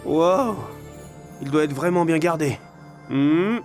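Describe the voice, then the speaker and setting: High-pitched, excitable young panda hero. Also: male voice, slow pace. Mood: puzzled, chatty. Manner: boyish, exclamatory, jokey. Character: young chubby excitable panda kung-fu hero, eager and goofy. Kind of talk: dubbed video game acting. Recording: music behind the voice.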